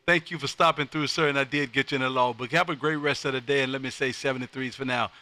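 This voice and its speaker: An American man hosting amateur radio contacts on a live stream, loud, exuberant and folksy, often repeating his phrases. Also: mid-range voice, steady tone, fast, dry recording.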